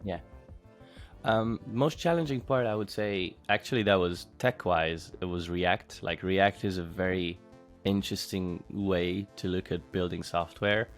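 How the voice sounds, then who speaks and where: Low, flat-voiced man. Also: a little slow, faint music behind. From Hungary. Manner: thoughtful, with frequent fillers and restarts. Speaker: interviewee, former bootcamp student. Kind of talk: casual interview answers.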